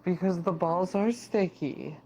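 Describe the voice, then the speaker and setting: A man's voice: high voice, measured pace, warm tone, rough recording. A man in improvised in-character roleplay chat, asking things in an innocently probing, puzzled way. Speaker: online roleplayer. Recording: music playing behind the voice. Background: music.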